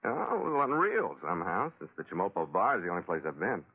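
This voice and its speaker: A man's voice: deep voice, huge pitch swings, quick pace, slightly rough, heavily acted. A man playing a laconic, wry American sea captain in 1940s radio adventure style, terse and dry. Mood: guarded, dry. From United States. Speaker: lead actor and narrator. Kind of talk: 1940s radio adventure acting, first-person narration. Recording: tinny 1940s radio recording with music.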